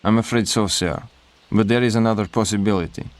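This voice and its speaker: An actor playing a cautious, dutiful major in a video game, speaking in a formal, measured, reserved way. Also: male voice, on the low side, fairly even intonation, no echo, natural voice. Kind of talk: video game cutscene acting.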